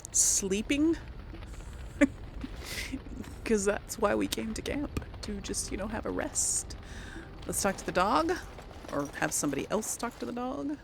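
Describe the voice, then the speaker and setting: A woman's voice: a little high, lively intonation, measured pace, creaky, long pauses, background noise. A woman giving casual, chatty let's play commentary, thinking aloud as she hosts a role-play gaming series.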